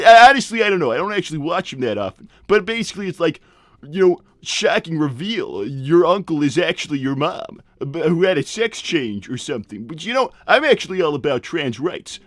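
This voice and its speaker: Male performer as cartoon animal boss, raised pitch, swooping delivery, tactless, rambling, breezy, improvised live roleplay. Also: a bit bright, dry recording. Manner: rambling, blunt, breezy, many digressions.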